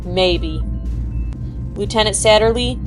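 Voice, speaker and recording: An actress playing a sardonic, authoritative space-fleet admiral in a sci-fi audio drama, dry and brisk. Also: female voice, mid-range voice, over music, close to an everyday voice. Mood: calm, commanding.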